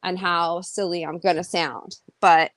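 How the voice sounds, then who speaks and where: A woman's voice: on the low side, steady tone, measured pace, a bit bright, no room sound. A woman co-hosting a casual livestream chat, greeting viewers as "honey" and keeping the talk light. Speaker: co-host.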